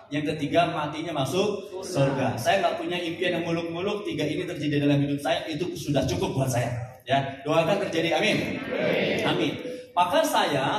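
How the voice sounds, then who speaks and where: Fortyish guy from central java, high voice, joking seminar coach, lots of echo, sounds far from the mic. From Central Java, Indonesia. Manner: jokey, leads call-and-response prayers with the crowd. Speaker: seminar speaker, business coach. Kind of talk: motivational seminar speech. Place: large indoor hall. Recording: echoey hall recorded from far off.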